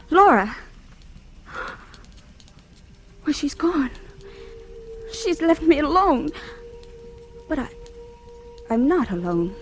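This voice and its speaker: An actress playing a fragile, panicked young woman in an old radio horror play, breathless, halting and repeating herself. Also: high-pitched. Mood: uneasy. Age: young adult. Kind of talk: 1940s radio horror acting, monologue. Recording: old radio drama with music underneath.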